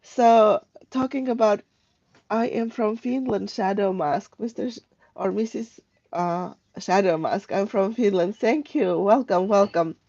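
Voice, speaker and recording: Mellow woman livestreaming. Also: medium pitch, muffled recording. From Armenia. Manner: halting, rambling, conversational. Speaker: live stream host, travel tips. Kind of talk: casual unscripted livestream chat. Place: at home, indoors.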